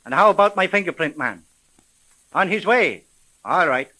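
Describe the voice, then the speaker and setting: Police sergeant, 1930s radio serial, bright tense voice, brisk, colloquial, commanding. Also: male voice, high-pitched, animated, low-quality recording. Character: gruff, wisecracking male police homicide sergeant. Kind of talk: 1930s radio drama acting.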